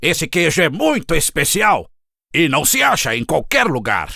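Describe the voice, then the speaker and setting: A man's voice: on the high side, huge pitch swings, normal speed, creaky, pushed and bright, dry recording, studio quality, full character voice. A man voicing a proud, selfish crow in a children's cartoon fable, haughty and dismissive. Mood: scornful. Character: proud, selfish, haughty male crow guarding his cheese.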